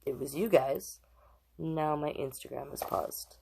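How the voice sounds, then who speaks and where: A woman with a fairly low voice reads a children's story aloud. She is expressive and chatty, often stepping out of the text to talk straight to her viewers. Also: a little slow, creaky, slightly rough. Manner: expressive, chatty, addresses live viewers between passages. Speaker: storytime host and drag king reader. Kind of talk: reading a children's picture book aloud with chatty asides.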